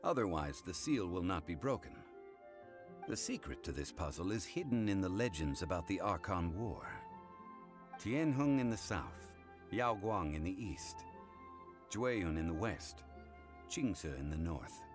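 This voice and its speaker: Game role: learned, composed gentleman, very low register, formal measured delivery with expressive intonation. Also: over music. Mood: composed, solemn. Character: calm learned gentleman consultant recounting old legends. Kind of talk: English video game voice acting.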